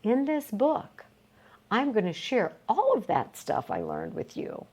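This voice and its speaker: A woman reading a motivational self-help audiobook aloud in a deliberate, emphatic, coaching way. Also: fairly high pitch, huge pitch swings, mellow, husky. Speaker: audiobook reader.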